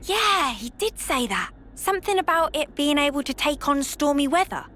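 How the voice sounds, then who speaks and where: A feisty young fighter woman from a video game. Her high, very animated voice is colloquial and outspoken, with faint noise behind it. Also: normal speed. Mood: recalling. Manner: colloquial, emphatic.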